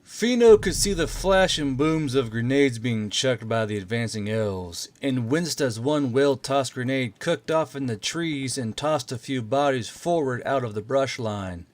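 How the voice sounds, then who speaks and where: A man's voice: mid-range voice, animated, unhurried, few pauses, no echo. A man reading scripted story narration as an audiobook narrator.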